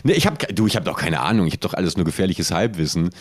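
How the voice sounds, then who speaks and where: A man's voice: low-pitched, sing-song, very fast, no echo. A man co-hosting a podcast in casual chat, joking with self-deprecating irony and rambling with stumbles. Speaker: podcast co-host.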